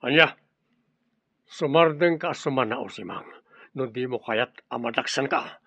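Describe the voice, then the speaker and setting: A man's voice: mid-range voice, unhurried, pushed and bright, dry recording. A man playing a hot-tempered, threatening crime boss in an Ilocano-accented radio drama, barking out commands. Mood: menacing. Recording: dull, lo-fi radio drama audio.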